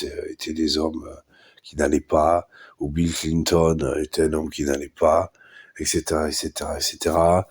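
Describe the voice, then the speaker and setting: A man's voice: low voice, darkish tone, husky. A man with a head cold, hosting a live podcast and giving a blunt, crude monologue of opinions full of hesitations and restarts. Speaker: podcast host. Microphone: live podcast mic.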